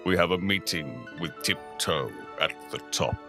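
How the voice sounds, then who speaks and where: A man voicing a grim, war-haunted pixie soldier in a tabletop game, speaking solemnly and deliberately. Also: low-pitched, lively intonation, over music. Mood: matter-of-fact.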